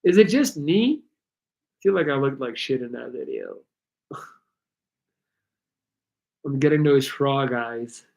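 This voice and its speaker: A man's voice: medium pitch, slow, gravelly, pauses often. A man whose first language is Dutch, speaking English casually and rambling a little as he hosts a live Q&A, with slightly altered articulation of some sounds. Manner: relaxed, rambling, reads chat aloud. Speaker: livestream host answering viewer questions.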